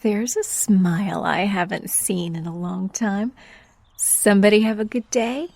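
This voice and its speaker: A woman playing an anxious, devoted young wife in an audio drama, soft and hesitant. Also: mid-range voice, unhurried, vocal fry, no echo. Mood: affectionate. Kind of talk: fan audio drama acting. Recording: music under the voice.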